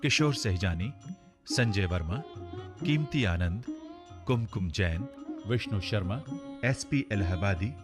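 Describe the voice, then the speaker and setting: A male radio announcer delivers formal, scripted credits. His low voice moves with lively intonation over clearly audible sitar music. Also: slow, pauses often.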